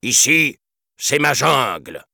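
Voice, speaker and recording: A venerable elephant colonel from a storybook, stern and pompous. The voice is pushed up high and loud, barking in martial style with big swoops. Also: male voice, big pitch swings, slow, creaky, no echo, clean studio sound, heavily acted. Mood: indignant. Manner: barking parade-ground commands. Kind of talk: children's storybook reading.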